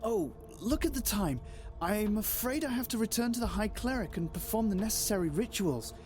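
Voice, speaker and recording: A male actor playing a polite, uneasy young cleric, speaking formally and guardedly in a dark fantasy audio drama. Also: sits high, animated, normal speed, soft background music. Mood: politely evasive.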